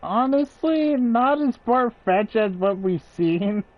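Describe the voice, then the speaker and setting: A man's voice: very high-pitched, expressive, takes it slowly, dull audio. A man giving casual playthrough commentary on a game stream and reading dialogue aloud.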